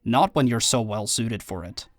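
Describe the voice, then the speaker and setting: A man's voice: mid-range voice, animated, moderate pace, no room sound, lightly acted. A man acting in an audio roleplay as an earnest, insistent brotherly friend, talking in a persuasive, conversational way.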